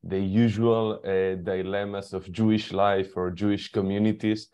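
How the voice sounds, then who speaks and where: A man's voice: fairly low pitch, little pitch movement, a little slow, dark tone, clear, resonant tone. A man, a journalist and co-director, joining a film panel by video call and answering with hesitant fillers. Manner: sleepy, laughs lightly, hesitant. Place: Barcelona.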